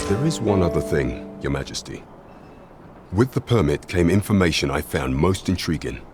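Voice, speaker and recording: Fantasy game royal advisor: very deep, grave, measured and courtly, archaic diction, slight ambient noise behind. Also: male voice, a little mellow. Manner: formal, deferential, measured.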